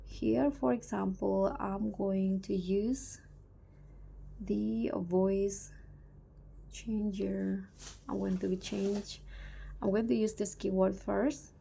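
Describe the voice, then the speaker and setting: A woman narrates a how-to tutorial at a slow pace, with plenty of vocal fry. Her tone is soft-edged and mellow, and she explains each step in a halting, instructional manner. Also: mid-range voice, fairly even intonation, a little hiss or hum. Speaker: tutorial host.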